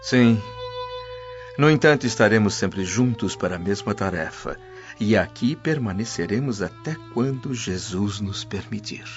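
A man's voice: lowish voice, animated, unhurried. An actor playing a devout, tender and anxious father, a Roman freedman, in an earnest radio drama style. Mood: tender, solemn. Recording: muffled lo-fi audio with music behind.

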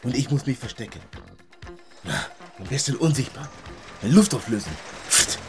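A man's voice: medium pitch, animated, normal speed, over music, close to an everyday voice. An actor playing a hunted, agitated young man in a radio drama, speaking in short clipped phrases. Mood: tense, muttering. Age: young adult. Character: hunted young Ghanaian man living illegally, wary and agitated. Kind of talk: naturalistic radio drama acting.

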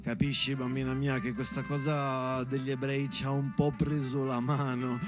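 A man's voice: medium pitch, flat delivery, normal speed, music in the background. A man delivering a storytelling theatre monologue as if to his daughter, with conversational asides and dramatic emphasis. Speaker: stage actor and monologuist.

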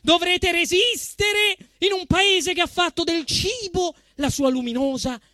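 A man with a Roman accent performing a satirical monologue on stage, emphatic and swinging between deadpan restraint and outrage. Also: very high-pitched, unhurried, no echo, clean studio sound. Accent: Roman Italian. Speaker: actor performing a comic monologue. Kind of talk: theatrical satirical monologue to a live audience.

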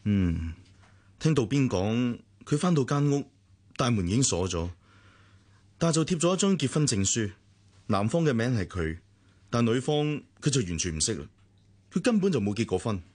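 A man's voice: medium pitch, very animated, moderate pace, pauses often, a little mellow. A man with a Hong Kong Cantonese accent acting a protective but detached elder brother in a radio drama, admonishing and matter-of-fact.

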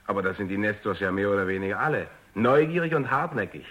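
A young physicist in a radio play. His voice is moderately low. He sounds unsure of himself, defensive and easily irritated. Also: fast, a bit bright, highs cut off. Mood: guarded. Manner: hesitant, defensive. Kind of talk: German radio drama acting.

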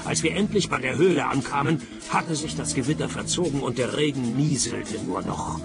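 An actor playing a grumpy, world-weary private detective in a radio play, dry and sarcastic. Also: male voice, mid-range voice, animated, moderate pace, gravelly, over loud music. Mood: wry narration. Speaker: lead character and narrator. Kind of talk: radio play dialogue and first-person narration.

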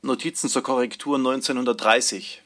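A man's voice: mid-range voice, fairly even intonation, brisk, music in the background, lightly acted. A man playing an announcer who reads out scripted archive headings in a radio play. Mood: neutral.

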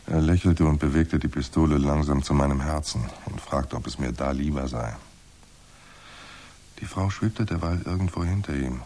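Hard-boiled crime narrator, extremely low, husky, dry and terse delivery. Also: male voice, moderate pace, mellow. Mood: suspenseful. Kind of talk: scripted noir narration in radio drama.

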